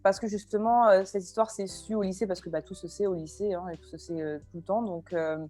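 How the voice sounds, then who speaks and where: A woman in her twenties from France, talking casually as an interview guest, often pausing with fillers and restarts. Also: mid-range voice, fairly even intonation, dark tone, soft background music. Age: 20s. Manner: hesitant, many fillers and restarts.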